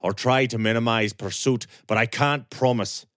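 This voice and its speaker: A man voicing a grim young man bent on revenge, speaking low, calm and controlled. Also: moderate pace, no room sound, studio quality, natural voice. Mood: commanding.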